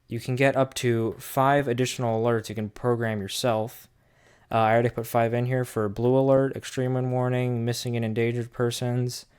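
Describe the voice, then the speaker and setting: A man's voice: medium pitch, a little mellow, clear, resonant tone. A man giving a casual, unscripted hands-on product review, thinking aloud as he goes in an informal way.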